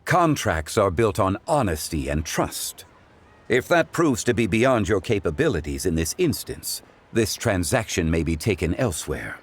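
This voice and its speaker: An actor playing a calm, ancient male consultant who speaks in a formal, measured and courteous way, in a video game. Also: deep voice, husky, exaggerated voice. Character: ancient, composed male consultant of refined taste.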